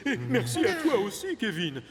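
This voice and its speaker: Un comédien jouant un gros chef des chemins de fer, poli mais vite dépassé, dans un dessin animé. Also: male voice, extremely high pitch, big pitch swings, normal speed, loud music behind the voice. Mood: polite. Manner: polite, flustered. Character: stout railway boss, polite but anxious.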